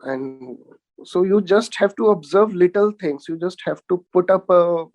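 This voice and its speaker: A man's voice: high-pitched, a little slow, a little mellow, dry recording, lo-fi sound. A man with an Indian accent speaking earnestly as a panelist in a radio discussion. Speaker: animal rights activist, panelist.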